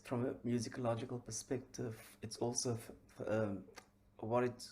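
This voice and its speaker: A man giving an academic conference presentation in a measured, halting way, searching for words as a researcher. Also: mid-range voice, warm tone.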